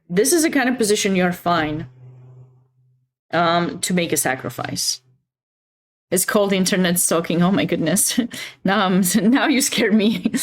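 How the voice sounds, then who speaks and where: A woman with a Romanian accent hosting a chess livestream, chatting casually and expressively with viewers between bits of commentary. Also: medium pitch.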